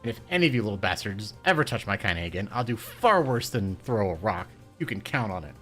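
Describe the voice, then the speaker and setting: A man voicing a tough, vulgar old grandmother who speaks bluntly and forcefully. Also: on the low side, normal speed, faint music behind, lightly acted. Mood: gruff.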